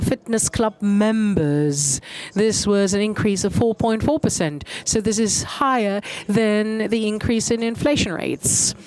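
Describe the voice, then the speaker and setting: A woman interpreting a conference keynote, measured and pausing often with hesitation fillers. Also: mid-range voice, darkish tone.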